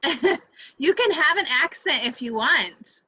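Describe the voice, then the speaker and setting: A woman's voice: high voice, animated, unhurried, bright, forceful tone, low-quality recording. A woman casually chatting and giving instructions as co-host of a virtual group event.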